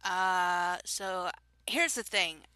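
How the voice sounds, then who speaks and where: A woman's voice: fairly low pitch, extremely slow, pushed and bright, clear, resonant tone, no echo. A young woman with a Southern drawl, chatting casually on her own recording, giggling and rambling with frequent restarts. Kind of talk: casual chat recorded alone.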